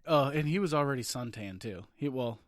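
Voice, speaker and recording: A man's voice: medium pitch, expressive, fairly quick, dry recording, studio quality. A man co-hosting a podcast in casual chat, joking and swearing often with frequent laughter. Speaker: podcast co-host.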